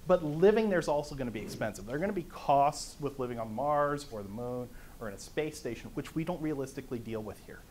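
A middle-aged man with a conversational, lively manner, lecturing to a conference audience in a meeting room. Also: mid-range voice, fast talker, slight background noise. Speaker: conference speaker. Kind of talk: lecture on economics.

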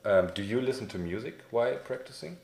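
A man's voice: mid-range voice, expressive, quick pace, a little mellow, a little hiss or hum. A young man with a German accent interviewing a guest in English, friendly and hesitant with many fillers. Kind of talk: casual interview. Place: small indoor room, sitting on a couch. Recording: echoey room.

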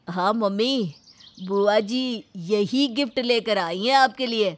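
Woman as cartoon grown son, polite, mild, mid-pitched. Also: takes it slowly, close to an everyday voice. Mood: amused. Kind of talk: animated family story acting.

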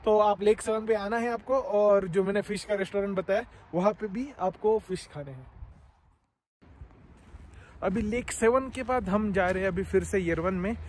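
A man in his thirties talks to a phone held at arm's length, his voice a little high and mellow. He chats casually, pausing on fillers as he explains what he sees. Faint background noise sits under the voice. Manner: chatty, explaining sights to viewers, many fillers. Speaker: travel vlogger. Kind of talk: casual travel vlog narration. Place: outdoors by a lake, then an underground cave museum. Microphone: phone camera at arm's length.

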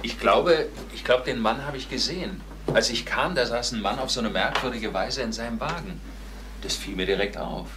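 An actor playing an easygoing detective in a German crime radio play, casual and joking with colleagues. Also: male voice, mid-range voice, normal speed, natural voice. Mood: curious. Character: easygoing, teasing male police detective. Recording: noisy, clicking old radio recording.

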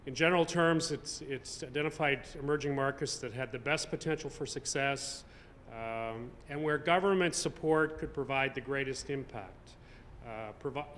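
A man's voice: medium pitch, fairly bright tone. A middle-aged man from Canada giving a conference speech at a podium, hesitant, with many fillers and restarts. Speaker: convention speaker. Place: hotel conference room. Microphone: podium microphone. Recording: reverberant hall, faint room noise.

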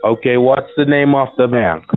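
A man on a phone call delivering a formal, scripted prize pitch in an overly reassuring manner. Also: moderate pace, no echo. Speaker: phone scammer. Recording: tinny phone line.

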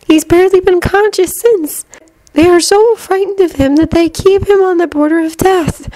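Woman doing a high-voiced, gentle captive teenage girl. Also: moderate pace, rough recording. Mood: quiet, grave. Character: calm, caring teenage girl prisoner.

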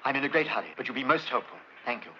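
An actor playing a polite, hurried investigator asking questions in a 1950s film drama. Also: male voice, mid-range voice, bright, forceful tone. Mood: polite, urgent. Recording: muffled, hissy old film soundtrack.

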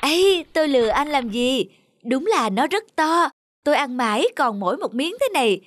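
A man voicing a small, nervous, quick-witted turtle in an animal fable cartoon. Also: very high-pitched, sing-song, fairly bright tone, no echo, studio quality, heavily acted. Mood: bluffing.

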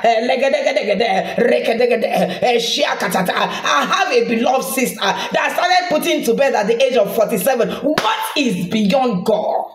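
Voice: medium pitch, animated, moderate pace, hardly any pauses. A preacher delivering a fervent, declamatory charismatic prayer sermon, repeating phrases and breaking into speaking in tongues. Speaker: preacher leading an online prayer broadcast. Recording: echoey room sound.

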